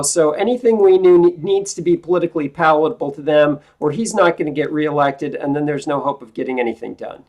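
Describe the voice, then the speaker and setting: A woman instructor playing a politician's aide in a classroom role-play, talking frankly and informally over a video call, her voice lower than usual today. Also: a little mellow. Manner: frank, informal, restarts often.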